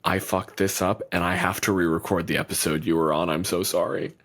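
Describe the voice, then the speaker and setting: A man with a fairly low voice chatting as a podcast co-host. He talks loosely, with plenty of swearing, restarts and asides. The tone stays informal throughout. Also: fairly even intonation, a little fast, fairly bright tone. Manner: loose and profane, rambling with restarts. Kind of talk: casual chat.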